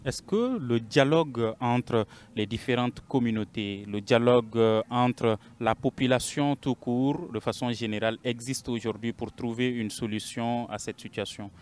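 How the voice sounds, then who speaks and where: A man's voice: mid-range voice, normal speed, hardly any pauses, darkish tone, a little hiss or hum. A man moderating a panel discussion as host, recorded on location.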